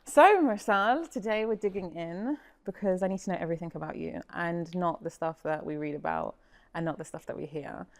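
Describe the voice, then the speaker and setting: A woman's voice: lowish voice, a little fast. A woman hosting an interview, chatty and thinking aloud with plenty of "like" and "I think". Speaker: host. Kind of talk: casual interview chat.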